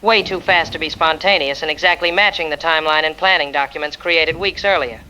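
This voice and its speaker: A man's voice: fairly high pitch, a little fast, bright, forceful tone, a little hiss or hum, rough recording. A man playing a grave investigator in an audio drama, reporting in an emphatic, methodical way. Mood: grave, urgent. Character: grave investigator exposing a corporate conspiracy.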